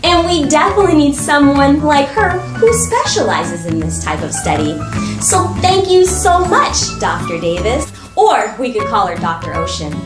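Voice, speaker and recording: A woman hosting an interactive children's show, talking directly to young viewers in an exaggerated, animated manner. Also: high voice, normal speed. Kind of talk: interactive children's read-aloud and science show. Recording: echoey room with music. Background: music.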